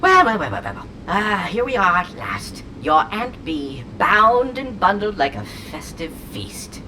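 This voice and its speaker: An unhinged, whimsical artificial intelligence from an audio drama. The delivery is theatrical and eccentric, with very lively, swooping intonation. A clear layer of sound-effect noise sits behind the voice. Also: female voice, medium pitch, a little slow. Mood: manic musing. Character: whimsical, unhinged sentient shard voice inhabiting a robot.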